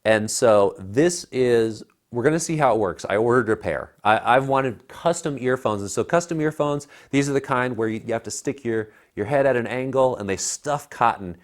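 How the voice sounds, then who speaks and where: A man's voice: mid-range voice, normal speed, hardly any pauses. A man in his thirties giving a casual, emphatic and opinionated product review as a co-host. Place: living room by a fireplace, indoors. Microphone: lapel mic.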